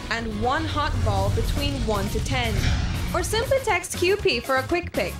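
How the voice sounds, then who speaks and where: A woman reading a scripted commercial voice-over in a clear, upbeat promotional manner. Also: fairly high pitch. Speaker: commercial announcer.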